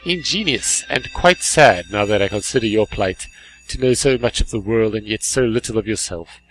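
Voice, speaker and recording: A man's voice: fairly low pitch, expressive, moderate pace, soft background music, close to an everyday voice, rough recording. Polite, kindly robot read out by a man. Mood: wistful. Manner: courteous, formal, gently earnest. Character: polite, kindly, sensitive male robot in a game.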